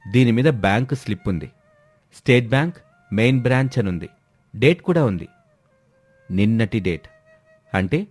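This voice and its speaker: A man's voice: lowish voice, darkish tone, no echo. Man playing accusing younger son in a family drama. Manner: probing, accusatory questioning. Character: outraged younger son exposing his scheming mother.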